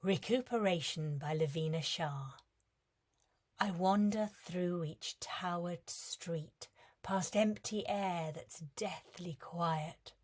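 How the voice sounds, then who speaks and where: Female poetry reader, lower voice, very slow, soft measured delivery.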